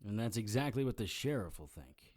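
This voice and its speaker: An actress playing a cool, sly, unbothered bargainer in an audio drama, with a dry deadpan delivery. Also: female voice, very deep voice, fairly quick, exaggerated voice. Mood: cool, teasing.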